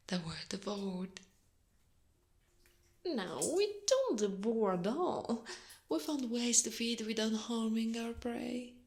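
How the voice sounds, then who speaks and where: Girl playing a creepy possessive spider monster lady, asmr roleplay, super slow teasing talk, half whispering. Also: mid-range voice, fairly bright tone. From Italy. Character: menacing, possessive female spider monster, playfully predatory.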